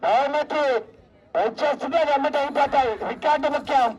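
A man giving loud, exclamatory live race commentary as an announcer, chanting repeated cries and calling out names. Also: very high-pitched, a little fast, lo-fi sound. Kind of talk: excited live race commentary with shouted cheers and name announcements. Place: outdoors at a rural race ground. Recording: distant outdoor sound, loud crowd and vehicle noise. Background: loud crowd, traffic and outdoor noise.

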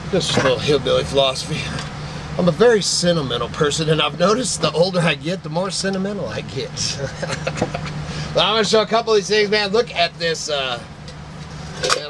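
Sentimental rambling man, noisy shop. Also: medium pitch. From Idaho. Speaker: vlogger, antique picker. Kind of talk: casual chat to camera. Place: cluttered indoor shop.

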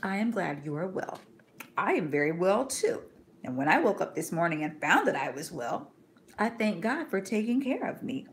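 A woman reading aloud and voicing a devout mother who speaks softly and earnestly to her little son. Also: on the low side, sing-song, moderate pace, a little hiss or hum. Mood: gentle. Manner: soft, earnest, coaxing.

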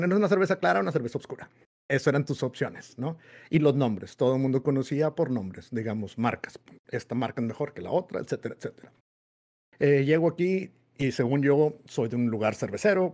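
A man's voice: medium pitch, darkish tone. Mexican man telling a rambling story. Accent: Mexican Spanish. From Monterrey, Mexico. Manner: rambling, with many "eh" and "este" fillers. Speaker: guest homebrewer. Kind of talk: storytelling interview answer. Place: home room. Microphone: desk mic on video call.

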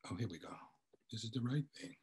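An older man with a husky voice at middle pitch speaks on a video call. He is informal and halting, like a professor getting a presentation ready. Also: expressive, a little mellow. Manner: halting, hesitant. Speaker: journalism professor, guest speaker. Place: plain room, indoors, on a video call. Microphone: computer mic on video call.

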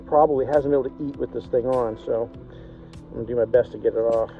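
A man's voice: medium pitch, steady tone, fast. A middle-aged man chatting informally outdoors as he films a vlog on a phone, with soothing asides to animals. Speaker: sailing vlogger. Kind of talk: casual vlog chat, talking to animals. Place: outdoors on a rocky, scrubby island shore. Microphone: phone camera, at arm's length or propped a few feet away. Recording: dull phone audio under loud music. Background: loud music.

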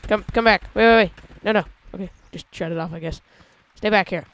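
A man hosting a casual gameplay video, talking through how he builds a vehicle, with excited yelps when he drives it. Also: sits high, a little fast. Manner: chatty, explains while building, excited yelps when driving. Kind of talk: casual let's-play commentary.

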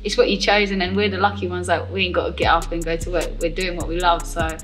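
A young woman answers interview questions in a loose, chatty way, laughing between thoughts. Her voice sits a little low. Clear music runs under her words. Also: quick pace, low-quality recording. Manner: lively, chatty, laughs often. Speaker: professional boxer. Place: boxing gym, indoors.

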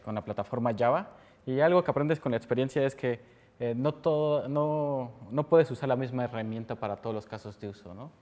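A Mexican man in his thirties speaks at a mid pitch, walking a seated audience through a technical topic. He poses questions and answers them himself. He is picked up in a lecture room from a distance. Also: darkish tone. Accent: Mexican Spanish. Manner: explanatory, asks and answers his own questions. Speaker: conference speaker. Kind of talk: technical conference talk.

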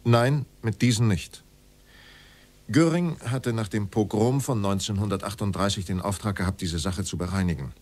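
An actor playing a middle-aged former state secretary under questioning in a radio drama, formal, terse and defensive. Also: male voice, lowish voice, normal speed, darkish tone, natural voice. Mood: guarded. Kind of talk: documentary radio drama dialogue.

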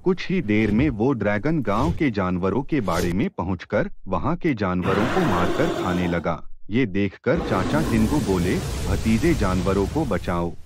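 A man's voice: fairly low pitch, very animated, unhurried, few pauses, noisy background. A man narrating a children's story from a script, as a storyteller.

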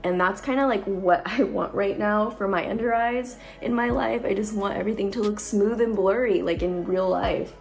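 A young woman filming a casual makeup tutorial at home on her phone, chatty with lots of likes and ums, her voice a little deeper from a cold. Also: moderate pace, creaky, hardly any pauses, faint music behind. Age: young adult. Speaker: beauty vlogger. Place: bright room at home. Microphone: phone camera at close range.

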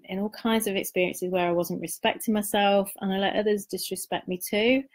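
A middle-aged woman giving an informal, personal live-stream talk as a coach, speaking in a reflective, confiding way with halting pauses and repeated words. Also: medium pitch.

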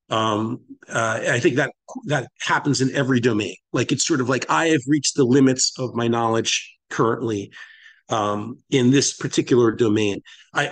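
A man hosts a conversational podcast, thinking aloud as he goes. His delivery is halting and reflective, with a mid-pitched voice and philosophical turns of phrase. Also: rough recording. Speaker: podcast host. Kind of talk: musing podcast discussion.

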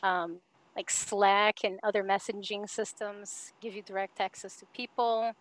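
A woman's voice: mid-range voice, level tone, a little slow, rough recording. A woman in her thirties, originally from Brazil, speaking as a panelist on a video call, with many fillers. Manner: hesitant, many fillers, laughs easily. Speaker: panelist, architect. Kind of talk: casual panel talk. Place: home.

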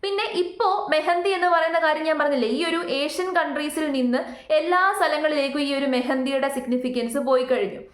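High-voiced woman in an echoey room. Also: normal speed. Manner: emphatic, repeats points with rhetorical questions. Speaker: YouTube commentator. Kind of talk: opinionated reaction commentary. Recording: roomy, echoing sound.

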